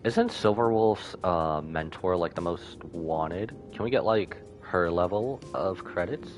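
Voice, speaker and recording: A man streaming a game, giving casual, expressive live reactions in slangy speech. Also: low voice, a little slow, faint music behind.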